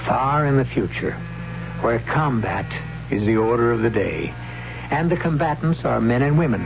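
A man hosting a radio drama series, delivering measured, ruminating scripted commentary. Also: medium pitch, lively intonation, creaky. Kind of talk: scripted radio host narration. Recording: dull lo-fi old radio broadcast with music.

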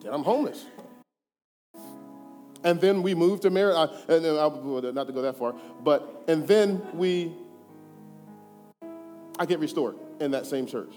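A man in his thirties shares his testimony in a church hall, his slightly high voice ringing with long reverb. Soft music plays faintly behind him. He talks in an earnest, conversational way that swings from hushed to emphatic. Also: measured pace, long pauses. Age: 30s. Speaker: church speaker giving testimony. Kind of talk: personal testimony.

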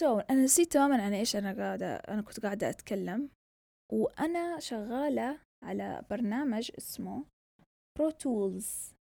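A woman's voice: medium pitch, vocal fry, warm tone, dry recording, studio quality. A young woman from southern Yemen hosting a casual solo podcast, with a mixed Yemeni and Saudi Gulf Arabic accent, talking in a chatty, rambling way and switching between Arabic and English. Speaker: podcast host.

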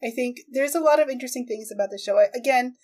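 A woman with a fairly high voice talks as the host of a casual podcast. She thinks aloud, often qualifying what she says. The delivery is conversational and tentative. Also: rapid-fire, darkish tone. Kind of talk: casual chat about anime.

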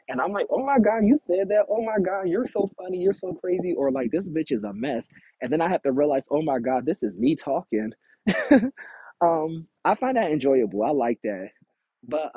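Guy rambling on his podcast, frank and funny, kinda breathy, dry close mic. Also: medium pitch, dry recording. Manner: chatty, candid, animated quoting of himself. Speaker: podcast host. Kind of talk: casual solo podcast monologue. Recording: tinny phone-like audio, dry.

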